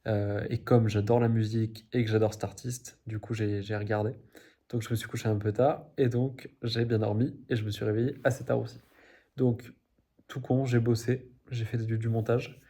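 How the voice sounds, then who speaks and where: Dark-voiced young man rambling. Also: fairly low pitch, flat delivery, normal speed. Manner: rambling, many fillers and restarts; laughs at his own slips. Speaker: vlogger. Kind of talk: casual daily video diary.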